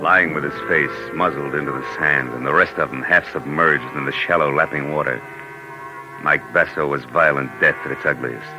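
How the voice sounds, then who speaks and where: Deep-voiced hard-boiled American private eye. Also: male voice, steady tone, normal speed, low-quality recording. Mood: grim, wry. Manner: hard-boiled, clipped slangy talk. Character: tough, wry private detective, adult man. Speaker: lead actor and first-person narrator. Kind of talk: 1940s radio detective drama, hard-boiled narration. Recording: old 1940s radio recording with music.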